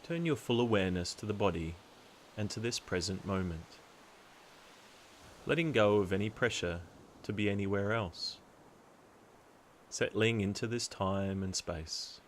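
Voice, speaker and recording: Male meditation guide, low register, calm and deliberate with long pauses, faint hiss on the recording.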